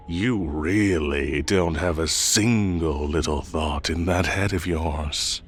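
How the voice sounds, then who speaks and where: Man acting a deep, very slow, haughty fae king. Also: a little hiss or hum, full character voice. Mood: amused, possessive. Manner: condescending, unhurried, theatrical drawl. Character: haughty fae forest king, teasing, possessive, menacing. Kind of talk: audio roleplay acting addressed to the listener.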